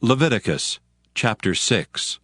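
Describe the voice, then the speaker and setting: A man's voice: on the low side, big pitch swings, measured pace, a bit bright. A man giving scripted narration for a dramatized scripture recording. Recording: long hall-like reverb.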